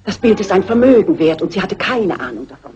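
A woman's voice: medium pitch, big pitch swings, fast talker, darkish tone, natural voice. An actress playing a scheming, contemptuous woman killer who poses as a harmless spinster, theatrical in a dubbed crime film. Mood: gloating contempt. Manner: theatrical, gloating. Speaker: murderer unmasked by the detective. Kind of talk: 1960s crime film dub. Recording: muffled husky old film soundtrack with music.

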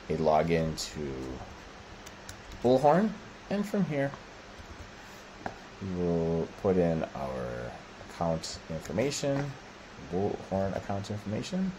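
A middle-aged American man presenting a software walkthrough, explaining step by step in short, halting phrases. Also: fairly low pitch, slight background noise. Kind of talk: screen-share product walkthrough.

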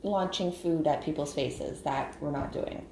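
Firm, patient mom in an echoey kitchen. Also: female voice, deep voice, measured pace. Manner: patient, firm, gently correcting. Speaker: mother. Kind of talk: casual family chat while baking. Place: home kitchen, large open room. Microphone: camera on counter, off-screen. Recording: echoey room, clear background noise.

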